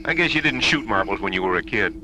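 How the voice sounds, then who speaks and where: An actor playing an easygoing, joking space shuttle co-pilot in a science fiction radio drama, with a casual delivery. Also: male voice, fairly low pitch, lively intonation, fast talker, noisy background, lightly acted. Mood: bantering, relaxed. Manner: casual, bantering.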